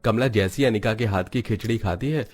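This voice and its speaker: A man's voice: on the low side, fairly quick, soft background music. A man narrating a Hindi cartoon story in a scripted storytelling style.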